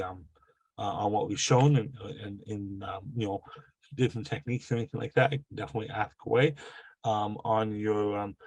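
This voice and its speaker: A man with a cold and a gravelly voice hosting a live online workshop over a video call, halting and full of fillers and restarts. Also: lowish voice, a little mellow, no room sound. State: has a cold. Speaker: webinar host.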